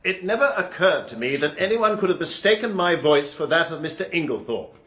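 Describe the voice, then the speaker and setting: An upper-class British defendant in a radio drama. He is formal, firm and defensive, with animated intonation. The recording is slightly dull. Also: a little high, a little fast.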